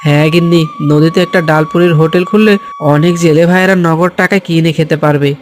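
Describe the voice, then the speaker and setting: Man acting a humble villager, highish voice. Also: fairly even intonation, quick pace, soft background music, close to an everyday voice. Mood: earnest, determined. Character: humble, hopeful villager who runs a food stall. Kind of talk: Bengali cartoon voice acting.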